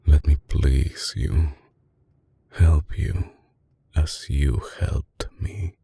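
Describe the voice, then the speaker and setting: A man playing a tender, possessive mafia boss with an Italian accent in an intimate, soft-spoken ASMR roleplay, his voice deep, breathy and husky. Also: a little hiss or hum, heavily acted. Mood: seductive, pleading. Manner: slow, hushed, coaxing, with dramatic pauses. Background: music.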